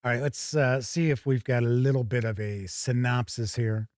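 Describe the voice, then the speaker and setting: A man's voice: medium pitch, steady tone, moderate pace, darkish tone, no room sound, clean studio sound. A man hosting a tabletop gaming show, chatting casually and thinking aloud as he gives live first-look commentary.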